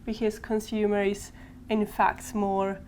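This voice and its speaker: A woman whose first language is Finnish, speaking as a podcast guest at a conference and pausing often with thoughtful ums. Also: medium pitch, little pitch movement, darkish tone, a little hiss or hum. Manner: thoughtful, hesitant with frequent ums. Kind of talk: conversational podcast interview. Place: conference venue.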